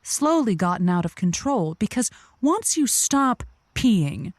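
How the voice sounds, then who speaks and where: A woman playing an earnest, wordy radio advice host, speaking in a thoughtful, halting way with florid vocabulary. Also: medium pitch, sing-song, a little mellow, no room sound. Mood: thoughtful, concerned. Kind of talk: improvised-sounding advice radio show acting.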